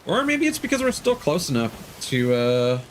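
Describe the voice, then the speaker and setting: A man's voice: fairly high pitch, wildly swooping pitch, moderate pace, slight background noise. A man giving casual live commentary as a video game streamer, grumbling and swearing in exasperation as he talks.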